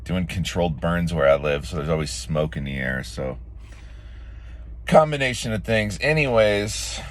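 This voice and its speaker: Raspy low-voiced man, rambling vlog. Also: expressive, moderate pace. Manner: rambling, many fillers and restarts. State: sore throat. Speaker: vlogger. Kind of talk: casual morning vlog talk.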